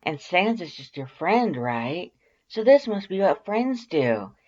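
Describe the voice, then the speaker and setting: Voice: mid-range voice, big pitch swings, slow pace, a little mellow, dull audio, pitched above the performer's own voice. A narrator voicing a brash, smug female fish monster in a story reading, mocking and pushy. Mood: mocking, gleeful.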